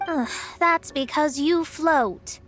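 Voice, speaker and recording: A woman voicing a proud, sharp-tongued young astrologist in a video game, haughty and theatrical in manner. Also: high voice, slow, soft background music. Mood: weary, indignant. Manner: haughty, theatrical, self-assured. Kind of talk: video game character acting.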